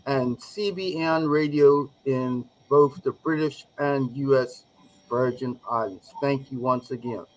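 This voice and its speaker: A man hosting a live regional talk show, speaking in a deliberate, measured way with emphatic phrasing. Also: a little high, poor audio.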